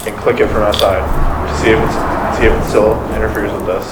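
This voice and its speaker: A young man on a ghost hunt, talking things through as he tests his gear in a dark barn. His voice comes from across a very echoey space, picked up by a distant camera mic over steady background noise. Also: on the low side, fast talker. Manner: practical, thinking aloud, testing gear. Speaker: paranormal investigator. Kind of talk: casual chat during a ghost hunt.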